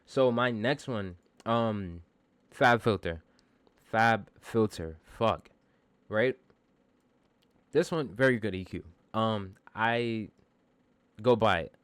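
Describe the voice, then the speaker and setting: A man with a low, bassy voice walks through a lesson in a casual way. His speech is clean and smooth, full of slang and the odd swear word, with a modest, self-deprecating streak. The sound is very dry and close. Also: slow, long pauses, a bit bright, dry recording. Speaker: music producer giving a vocal mixing tutorial. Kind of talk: casual tutorial talk.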